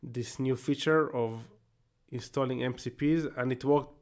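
A man's voice: mid-range voice, steady tone, a little slow. A man in his thirties with an Israeli accent, a native Hebrew speaker, talking casually through a screen-share tech tutorial and thinking aloud as he works. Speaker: tech YouTuber.

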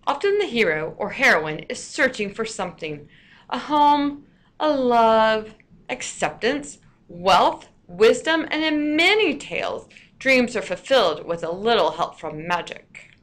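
A woman narrating a scripted lesson as a teacher, with deliberate, storyteller-like emphasis. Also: a little high, big pitch swings, fairly bright tone.

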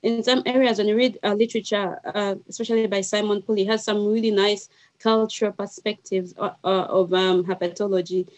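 A woman's voice: medium pitch, fairly even intonation, brisk. Ugandan woman speaking thoughtfully, hesitantly. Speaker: guest panelist, wildlife researcher. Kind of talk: video call debate. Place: small indoor room. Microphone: headset on a video call.

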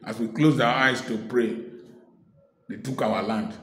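A middle-aged man from Africa preaching to the camera in an emphatic way, repeating key words and adding sharp humor. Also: mid-range voice, a little slow, echoey room. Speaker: preacher and speaker. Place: indoor room at home, seated in office chair.

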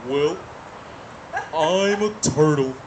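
Unhurried turtle for kids' puppet show, played by a man; easygoing, slow delivery, noisy outdoor sound. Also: on the high side. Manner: slow, laid-back.

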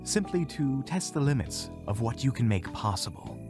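A man playing an aloof, philosophical mage in a fantasy audio drama, speaking in a deliberate, pompous, detached way. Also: mid-range voice, sing-song, music in the background, lightly acted. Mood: smug, musing. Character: Phineas, aloof, philosophical male mage with dark ambitions.

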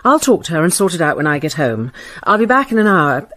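An actress playing an impatient, imperious British lawyer in a radio play, curt and exasperated. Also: female voice, on the low side, big pitch swings, fast talker, a bit bright, no echo.

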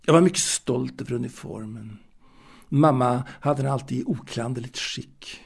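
A man's voice: medium pitch, vocal fry, slightly rough. A man playing a proud, naive young confectionery seller reminiscing in an intimate audio drama monologue, hesitant and repeating his words. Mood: polite, guarded.

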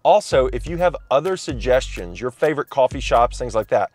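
A man in his thirties, loud and chatty, reviewing a coffee shop from inside a parked car and talking to a phone camera held at arm's length. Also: mid-range voice, lively intonation, fairly quick. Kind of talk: casual vlog review.

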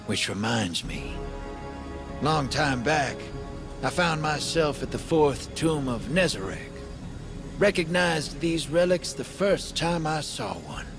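An actor voicing a sly, easygoing drifter rogue in a video game, with a folksy, colloquial drawl. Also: male voice, fairly high pitch, full character voice. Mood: confiding. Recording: loud music under the voice.

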